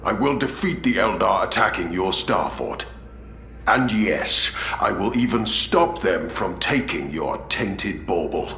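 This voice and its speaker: A man's voice: low-pitched, expressive, a little slow, sharp tone, heavily acted. A man playing a resolute space marine commander in a video game, speaking formally and with authority. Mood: defiant, firm. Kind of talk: dramatic video game cutscene acting. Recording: echoey, faint background noise.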